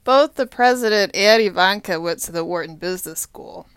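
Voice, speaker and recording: A woman in her thirties from Texas, talking in a rambling personal monologue, halting and repetitive with frequent pauses. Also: mid-range voice, fairly bright tone, clean tone, no room sound. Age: 30s.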